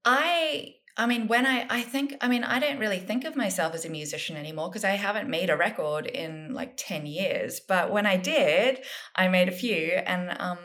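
A woman's voice: medium pitch, moderate pace, a bit bright. A woman from South Africa, a guest author in a casual interview, thinking aloud with plenty of ums and restarts. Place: home in Sydney.

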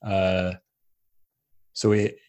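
A man's voice: sits low, level tone, drawn-out words, darkish tone. A young man hosting a podcast, talking casually and rambling, with many restarts and tangents. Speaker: podcast host. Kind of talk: casual conversational interview.

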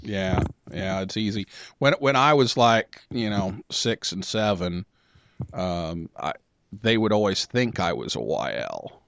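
A man hosting a radio talk show, chatting casually with a guest and pausing often on filler sounds. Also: low voice, lively intonation, gravelly, a bit bright, dry recording. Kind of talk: casual interview chat.

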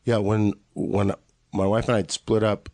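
A man's voice: lowish voice, flat delivery, moderate pace, darkish tone, no room sound. A man in his late forties from Los Angeles with an American accent, telling casual, rambling, joking stories as a podcast guest. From Los Angeles, USA. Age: late 40s. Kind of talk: casual conversational storytelling.

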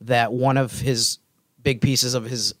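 A man's voice: medium pitch, flat delivery, takes it slowly, no echo. Explanatory man talking basketball, very dry sound. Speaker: basketball podcast panelist. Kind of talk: conversational sports analysis.

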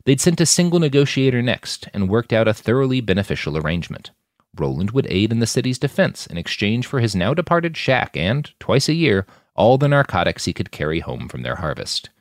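A man's voice: mid-range voice, very animated, a little fast, no echo, studio quality. A man reading scripted fiction aloud as a narrator in an audiobook style.

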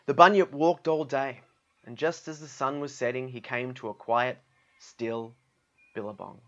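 A man's voice: medium pitch, fairly even intonation, unhurried, highs cut off. A man with an Australian accent reading a storybook aloud and chatting casually about it. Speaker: guest storybook reader. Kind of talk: storybook narration with casual asides.

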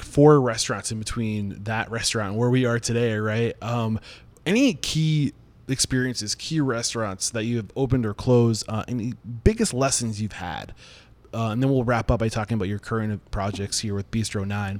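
A man's voice: on the low side, darkish tone. A man hosting a casual interview, speaking conversationally and encouraging his guest with frequent affirmations.

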